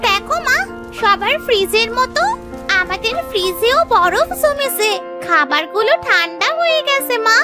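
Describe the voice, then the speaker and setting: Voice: extremely high pitch, lively intonation, unhurried. A performer voicing an eager, determined little village girl in a children's cartoon, with music behind. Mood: excited.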